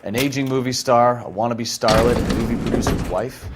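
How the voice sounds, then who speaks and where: Man as wry cop over loud car noise. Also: medium pitch, steady tone, brisk, close to an everyday voice. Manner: dry, sardonic. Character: wry, cynical 1940s police detective.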